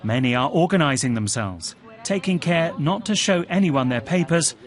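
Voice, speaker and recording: A man narrating a television news report in a measured, scripted voice, also voicing translated quotes. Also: fairly high pitch. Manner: measured, even reporting delivery.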